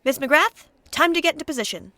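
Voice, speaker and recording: A woman playing a fussy, high-voiced team coordinator. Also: expressive, brisk, sharp tone, no room sound, studio quality. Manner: bossy, fretting instructions. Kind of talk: audio comedy drama.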